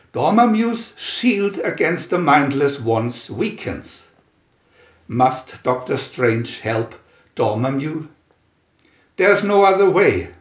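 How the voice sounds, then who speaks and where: A man reading a dramatic storybook narration in a theatrical, measured manner. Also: fairly high pitch, reverberant, highs cut off. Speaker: narrator reading a picture booklet.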